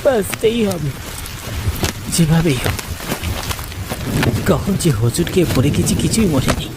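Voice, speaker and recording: Guy narrating a spooky cartoon story, dramatic n animated, kinda high voice, rain noise behind. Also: moderate pace. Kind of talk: dramatic first-person horror story narration.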